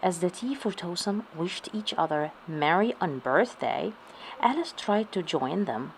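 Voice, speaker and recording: A woman storyteller reads aloud for children. Her voice sits a little low and she takes the narration slowly. There is faint noise in the recording. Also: lively intonation, poor audio.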